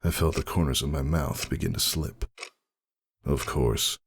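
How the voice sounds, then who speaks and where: A man narrating a dramatic first-person story in a measured, somber manner. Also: very deep voice, slightly rough.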